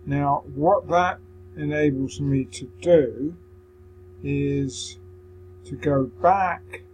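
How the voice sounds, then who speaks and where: Male tutorial presenter, unhurried and hesitant, mid pitch, walking through software over a faint noisy hum. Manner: hesitant, explaining step by step. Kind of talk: software screen-recording walkthrough.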